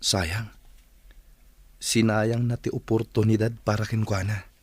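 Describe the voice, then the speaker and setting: A man's voice: lowish voice, flat delivery, a little slow, a little mellow, slight background noise, lightly acted. An actor playing a regretful village man in an Ilocano radio drama. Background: music.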